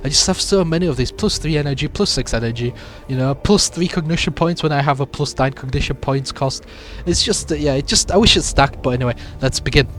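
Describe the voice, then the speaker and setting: A man with a sick, raspy voice casually comments on his gameplay, rambling along with lots of fillers. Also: on the high side, brisk, fairly bright tone. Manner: rambling, with many fillers and restarts. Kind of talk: casual let's-play commentary.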